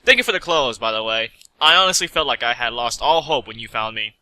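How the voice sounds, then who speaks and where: Man as an awkward, polite young mechanic, bright voice. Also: medium pitch, expressive, a little fast. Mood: polite, unsure. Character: upbeat, slightly awkward male stranded mechanic.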